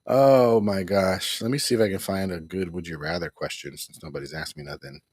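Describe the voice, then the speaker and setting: A man's voice: low voice, animated, fairly quick, creaky, a little mellow, husky, no room sound, studio quality. A middle-aged man hosting a casual home livestream, rambling and repeating himself with small chuckles. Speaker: livestream host. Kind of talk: casual live broadcast chat.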